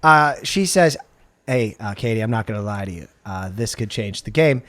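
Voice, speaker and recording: A man's voice: on the low side, lively intonation, moderate pace, fairly bright tone, no echo. A man co-hosting a podcast in casual comic banter, mocking and incredulous, with some swearing. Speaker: podcast co-host.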